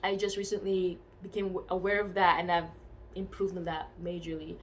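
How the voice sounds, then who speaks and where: A woman's voice: lowish voice, level tone, brisk. A woman in her twenties answering interview questions in a casual, thoughtful way. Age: 20s. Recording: faint background noise.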